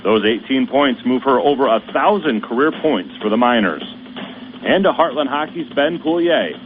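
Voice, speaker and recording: A man hosts a radio sports roundup in a steady, measured announcer voice at a middle pitch. The sound is thin and tinny, like a phone line, with faint hiss underneath. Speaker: radio sports show host. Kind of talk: scripted sports news reading.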